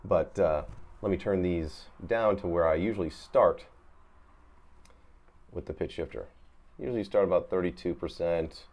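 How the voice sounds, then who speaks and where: A man's voice: deep voice, moderate pace, pauses often, darkish tone. A man giving an off-the-cuff, casual guitar effects tutorial, laid-back and rambling as he searches for words. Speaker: guitar effects demonstrator, host.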